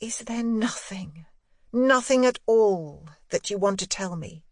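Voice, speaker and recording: A woman's voice: mid-range voice, expressive, slow pace, darkish tone, no echo. Woman reader as an earnest, tender governess, dry sound. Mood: tender, pleading. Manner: earnest, probing. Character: anxious, tender governess questioning a child. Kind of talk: audiobook dialogue, literary ghost story.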